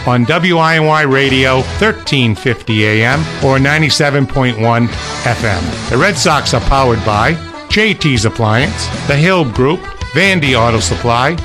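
A man's voice: medium pitch, animated, measured pace. Male promo announcer, emphatic read, guitar music behind. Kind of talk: scripted radio promo.

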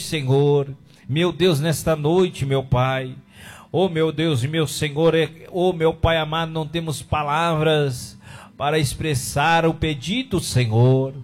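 A man leads an earnest intercessory prayer for radio and online listeners, working through short phrases broken by repeated calls to the Lord. His voice sits somewhat high and moves at an unhurried, drawn-out pace. Faint music plays beneath him. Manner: slow, repetitive invocations of God between phrases. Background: soft music.

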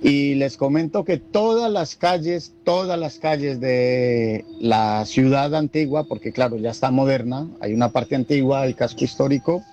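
A man's voice: medium pitch, normal speed, few pauses, mellow. A Colombian man with a Colombian Spanish accent, talking casually as a caller to a radio show. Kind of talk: casual call-in talk. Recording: dull lo-fi call, some background noise.

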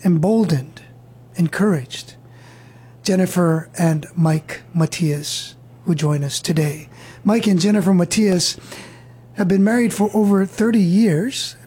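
Woman host of a faith talk show: slow, earnest, gently preaching, breathy tone. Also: medium pitch.

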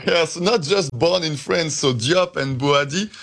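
A man's voice: fairly high pitch, measured pace, creaky, a bit bright. A man talking casually as a football supporter and guest in a live interview.

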